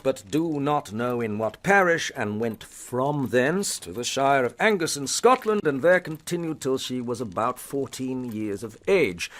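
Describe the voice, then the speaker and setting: A suspicious Georgian lawyer in a radio play. His voice sits fairly high and jumps about in lively, pompous, badgering phrases, full of clipped scorn. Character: sneering, suspicious 18th-century lawyer interrogating a prisoner. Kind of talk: radio drama acting.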